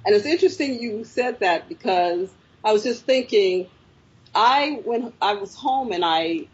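A woman's voice: mid-range voice, expressive, unhurried, husky, lo-fi sound. A woman filmmaker answering interview questions, telling her story reflectively with frequent fillers. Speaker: filmmaker guest.

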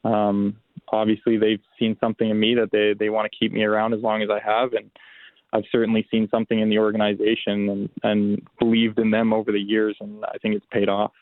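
A young adult man, a football player, answering interview questions by phone in a relaxed, halting way with many restarts and fillers. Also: on the low side, no echo. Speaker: pro football player, guest. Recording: tinny phone line.

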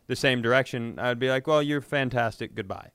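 A man co-hosting a comedy podcast in casual chat, talking in a halting, self-deprecating way. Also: medium pitch, a bit bright, smooth, dry recording, clean studio sound. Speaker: podcast co-host.

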